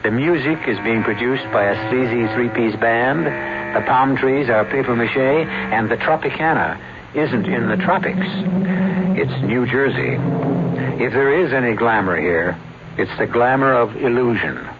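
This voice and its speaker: Music plays behind a male actor as a wry radio mystery narrator. His voice is creaky and sardonic, and the old recording is slightly muffled. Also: mid-range voice, unhurried, lightly acted. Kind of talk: scripted radio drama narration.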